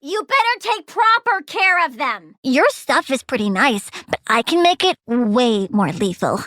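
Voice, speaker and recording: Game villainess: very high, girlish, wildly swinging voice, bubbly plant-obsessed brat with a menacing, sardonic streak. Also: measured pace, no echo, clean studio sound, full character voice. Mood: eager, proud. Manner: childlike, gleeful, sardonic, swinging between chirpy and deadpan. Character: bubbly, bratty, menacing young plant-girl supervillain. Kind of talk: video game character voice lines.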